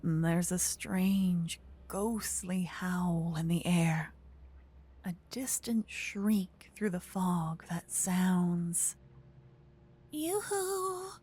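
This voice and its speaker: Breathy, slow woman narrating fantasy ASMR. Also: on the low side, fairly even intonation. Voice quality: soft, breathy, often near whisper. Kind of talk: scripted second-person fantasy narration, ASMR. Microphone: close binaural microphone.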